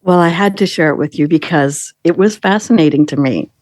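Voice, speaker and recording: A woman's voice: deep voice, brisk, darkish tone, no echo. A woman chatting casually as a podcast guest, with an amused, playful way of talking. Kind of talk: casual chat.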